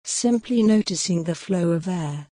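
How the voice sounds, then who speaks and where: A woman leading a guided mindfulness meditation in a gentle, soothing, measured way with long pauses. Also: lowish voice, expressive, no room sound.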